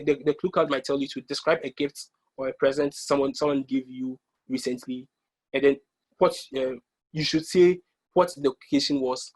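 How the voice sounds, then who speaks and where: A young man from Ghana presenting an online exam-prep workshop over slides, pausing often with ums and restarting his sentences. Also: a little high, a little mellow, rough recording. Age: young adult. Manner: hesitant, many ums and restarts.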